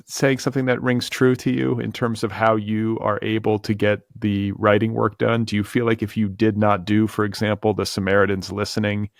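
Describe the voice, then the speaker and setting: A man's voice: lowish voice, gravelly, no room sound, clean studio sound. A man hosting a conversational podcast interview, reflective and feeling his way through long, tentative questions. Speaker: podcast host interviewing a writer.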